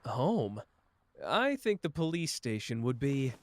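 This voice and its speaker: A man doing a slow, flirtatious charmer. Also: medium pitch, very animated, no room sound.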